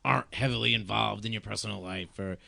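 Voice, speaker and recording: A man's voice: on the low side, quick pace, creaky, sharp tone, dry recording. A man hosting a podcast, chatting in a laid-back, teasing and slangy way. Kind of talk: casual podcast chat.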